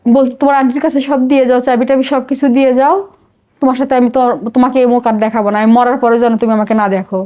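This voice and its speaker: Bangladeshi woman, middle-aged podcast guest. Higher-pitched, rapid, emotional confessional delivery that circles back on itself. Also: little pitch movement, mellow. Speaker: podcast guest telling her life story. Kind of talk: personal confessional interview.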